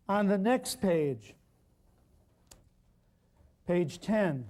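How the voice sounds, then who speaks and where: A man leads a healing workshop in a loud, emphatic, folksy manner, stacking up short lists of words for effect. His voice sits high for a man, yet it has a mellow, warm tone. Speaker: natural healing teacher. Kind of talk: workshop lecture to a live class.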